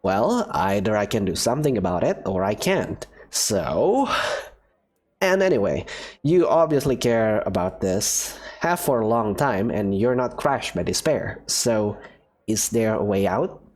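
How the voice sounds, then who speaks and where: A man's voice: fairly low pitch, very animated, measured pace. A man narrating a fan visual novel as its student hero, hesitant and thoughtful. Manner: hesitant, thoughtful, soft-spoken. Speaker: narrator and player character.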